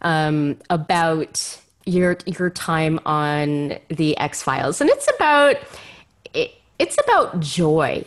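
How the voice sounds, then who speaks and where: A woman's voice: deep voice, sing-song, takes it slowly. A woman interviewing a guest in a conversational podcast style, effusive, feeling her way through questions with emphatic repeats.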